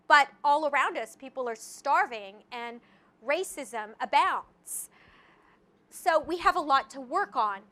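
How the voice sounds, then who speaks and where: A woman with a high, bright voice gives an academic lecture at a mic. She talks in a lively way, dropping in asides and checking in with the audience with "right?". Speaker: guest lecturer. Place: lecture hall. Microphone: handheld or podium mic.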